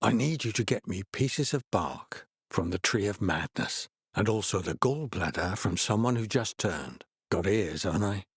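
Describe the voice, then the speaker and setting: An actor playing a laid-back, earnest middle-aged hippie healer in a video game, explaining things in an easygoing way. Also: male voice, on the low side, animated, moderate pace, hardly any pauses, breathy edge, no echo, studio quality, heavily acted. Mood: calm, welcoming. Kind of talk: video game character dialogue.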